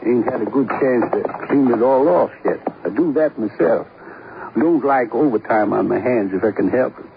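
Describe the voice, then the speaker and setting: An actor playing a gruff, talkative grill owner with folksy, rambling talk in a 1950s radio drama. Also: male voice, mid-range voice, moderate pace, close to an everyday voice. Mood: wry, folksy. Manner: rambling, folksy, colloquial drawling phrasing. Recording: muffled, noisy 1950s radio recording.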